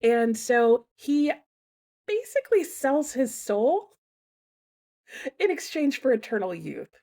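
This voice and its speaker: A young woman hosting her book channel, announcing a readalong in a chatty, casual way with lots of fillers and lively swings in pitch. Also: takes it slowly. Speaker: booktube host.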